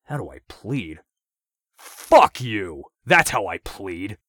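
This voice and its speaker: A man's voice: fairly low pitch, very animated, unhurried, slightly rough, no echo, studio quality, pitched above the performer's own voice, full character voice. A man voicing a frightened, defiant captive who stammers and curses. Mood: defiant, angry. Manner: stammering, then cursing.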